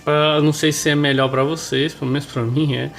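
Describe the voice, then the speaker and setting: A Brazilian man with a nasal, hoarse voice, stuffed up with a cold, presenting and reading a religious book aloud. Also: fairly high pitch, little pitch movement, fairly quick, slight background noise. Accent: Brazilian Portuguese. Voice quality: nasal, congested. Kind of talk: informal remarks and devotional reading aloud.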